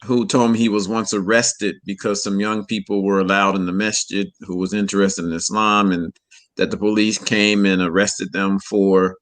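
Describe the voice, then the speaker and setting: A man in his forties addressing a young audience, measured and often repeating words as he searches for them. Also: lowish voice, little pitch movement, a bit bright. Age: 40s. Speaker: community elder speaker. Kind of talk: public address.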